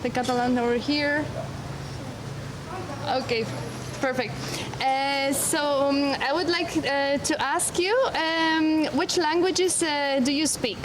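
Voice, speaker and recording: A woman's voice: high voice, fairly even intonation, slow. A woman leading a walking tour outdoors, speaking with many hesitations and fillers. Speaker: tour guide. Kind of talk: guided walking tour talk. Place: outdoors on a city street. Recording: street noise behind.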